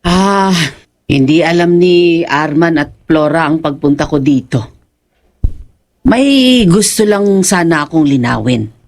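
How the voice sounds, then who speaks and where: A woman's voice: low voice, lively intonation, takes it slowly, a little mellow, heavily acted. A woman acting as a suspicious, persistent older aunt in an audio horror drama, questioning insistently. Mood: probing.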